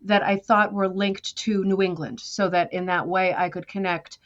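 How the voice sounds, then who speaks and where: A woman's voice: medium pitch, steady tone, moderate pace, lo-fi sound. An American woman telling her story as a guest in a conversational interview, chatty and self-deprecating. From United States. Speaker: guest artist.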